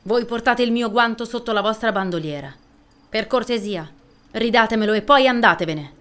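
Lady playing a bossy fantasy princess, highish voice, formal, decisive, imperious. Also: moderate pace, a bit bright, natural voice. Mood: cold, commanding. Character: commanding, resolute young warrior princess.

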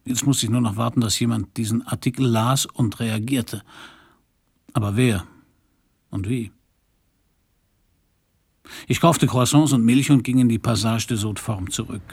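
A man's voice: mid-range voice, a little slow, long pauses, a little mellow, slightly rough, dry recording. An actor playing a world-weary private detective in a German crime radio drama, dry and laconic as he talks and narrates. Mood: dry narration. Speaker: narrator and lead.

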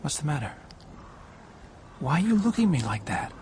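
An actor playing a downtrodden, listless grown son in a radio drama, with a deferential manner. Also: male voice, on the high side, big pitch swings, brisk, darkish tone, a little hiss or hum. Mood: numb, wistful. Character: subdued, downtrodden adult son of a domineering mother.

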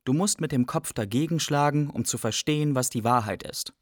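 Mid-pitched reflective young refugee narrator played by a man. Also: fairly quick, very noisy, close to an everyday voice. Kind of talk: scripted first-person radio play narration.

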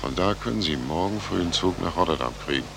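An actor playing a gruff, stern but grudgingly helpful policeman in a 1940s radio drama, curt and commanding. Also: low-pitched, lively intonation, fast. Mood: brisk, instructing. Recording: noisy old 1940s radio recording.

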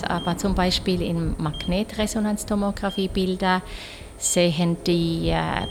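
Low, creaky-voiced woman doctor explaining. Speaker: senior physician in radiology. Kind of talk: explaining medical findings in an interview. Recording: clearly noisy room recording.

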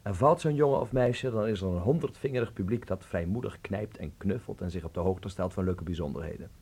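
An actor playing a young, ironic Dutchman in a radio drama, speaking articulately with a gravelly, husky voice. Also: sits low, a little fast, warm tone. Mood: teasing. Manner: wry, articulate. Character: young, ironic, sensitive Dutchman living in 1930s Berlin. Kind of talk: radio drama acting and narration.

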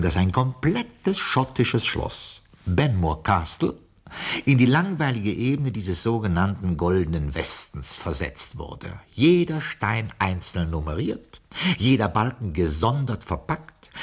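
An actor playing a formal, loyal, pedantic castle butler in a radio play, speaking with ceremonious dry dignity. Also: male voice, on the low side, slightly rough. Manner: dignified, ceremonious, dryly correcting. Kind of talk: theatrical radio drama storytelling.

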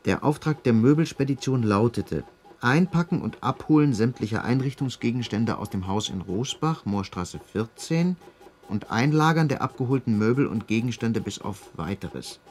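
An actor playing a dutiful police assistant in a radio drama, brisk, formal and matter-of-fact. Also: male voice, medium pitch, lively intonation, a little mellow, lightly acted. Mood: official, dry. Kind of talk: German radio drama acting, reading official reports.

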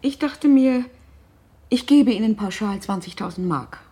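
Husky, reserved wealthy mother. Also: mid-range voice, animated, unhurried, warm tone. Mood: cool, formal. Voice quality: husky, breathy. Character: worried, reserved wealthy mother of a grown missing son. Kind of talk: naturalistic German radio drama.

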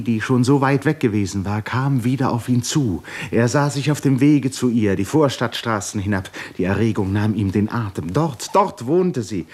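A man narrating a 1950s German radio drama in a solemn, literary, articulate style with expressive pauses. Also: fairly low pitch.

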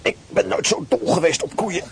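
An actor playing a fussy, complaining escaped prisoner in a radio play. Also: male voice, sits high, normal speed, gravelly, noisy background. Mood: quizzical. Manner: complaining, quizzical. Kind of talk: radio drama acting.

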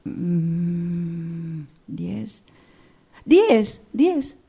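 A woman's voice: lowish voice, big pitch swings, extremely slow, vocal fry, mellow, breathy edge, full character voice. A woman playing someone who ponders each reply, in a radio drama workshop exercise. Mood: pondering.

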